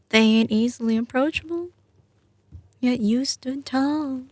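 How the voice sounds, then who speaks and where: Actress as tough, doting mob-boss girlfriend for ASMR roleplay: Southern drawl, unhurried, creaky, teasing. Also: mid-range voice, darkish tone, no echo. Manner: slow, drawling, teasing endearments. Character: doting, tough mafia heiress girlfriend, young woman. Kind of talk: ASMR roleplay, intimate scripted monologue to the listener.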